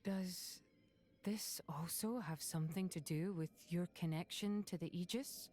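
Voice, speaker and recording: An actress voicing a composed, serious woman warrior in a video game, calm and measured. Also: fairly low pitch. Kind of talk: dramatic video game acting. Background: music.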